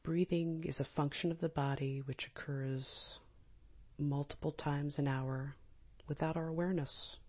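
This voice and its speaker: A woman leading a guided meditation in a calm, gentle, soft and breathy manner, with long pauses. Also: sits low. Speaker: meditation teacher. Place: live small group session.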